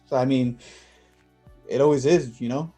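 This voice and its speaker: Man acting awkward, soft-toned, nervous young guy. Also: medium pitch, fairly even intonation, faint music behind, lightly acted. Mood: shy, amused. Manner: halting, fumbling, self-conscious. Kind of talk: staged play reading.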